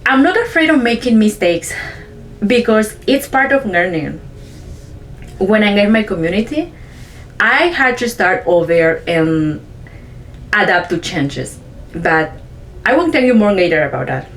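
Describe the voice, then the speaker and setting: A woman's voice: mid-range voice, big pitch swings, measured pace, long pauses, a little hiss or hum, rough recording. Earnest woman, Mayan-speaking vlogger. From Chiapas, Mexico. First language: Chong (a Mayan language). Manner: earnest, simple sentences, careful non-native English. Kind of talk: reflective personal narration.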